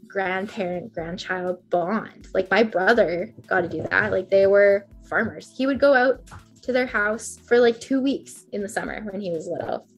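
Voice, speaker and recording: A woman's voice: mid-range voice, moderate pace, husky, dull audio, faint music behind. Chatty young woman, heavy with "like". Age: 20s. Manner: chatty, rambling, heavy use of "like". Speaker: podcast guest. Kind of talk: casual podcast chat.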